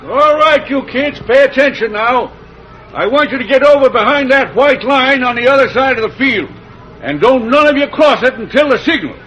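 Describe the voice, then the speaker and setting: Very high-pitched folksy country sheriff. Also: male voice, animated, moderate pace, a bit bright, lo-fi sound. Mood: commanding. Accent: rural American. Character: folksy country sheriff barking rustic orders. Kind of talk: old-time radio western acting. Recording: noisy old radio recording.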